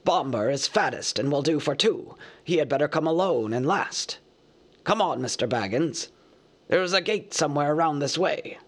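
A man reading a storybook aloud, voicing an old male wizard who speaks formally and with measured composure. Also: on the high side, lively intonation. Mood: courteous.

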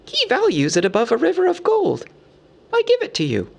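A man voicing a small, fussy, anxious male hobbit from a storybook, squeaky, polite and rambling in a businesslike way. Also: huge pitch swings, a little fast, vocal fry, exaggerated voice. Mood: earnest. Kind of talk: storybook character voice.

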